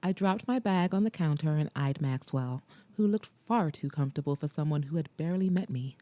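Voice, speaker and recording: A woman hosting a podcast and narrating an audiobook in a dramatic, expressive way, with slow emphatic pauses. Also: low-pitched, dry recording.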